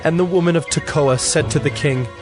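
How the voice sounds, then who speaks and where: A man narrating scripture from a script in a measured, solemn storytelling manner. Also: fairly high pitch, music in the background.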